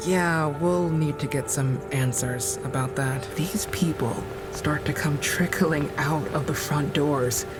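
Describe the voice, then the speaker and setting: A weary, observant field operative voiced by an actor gives an audio drama account of a rescue. The phrasing is measured and halting, at a medium pitch. Music and vehicle rumble run clearly behind the voice. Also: close to an everyday voice. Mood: sober, measured. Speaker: narrator and field worker character. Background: music, vehicle sounds.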